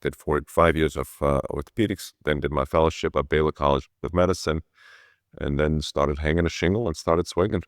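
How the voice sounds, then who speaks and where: A man's voice: a bass voice, steady tone, a little fast, no room sound, clean studio sound. A middle-aged man from Switzerland telling his own story conversationally as an interview guest. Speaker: interview guest, orthopedic surgeon.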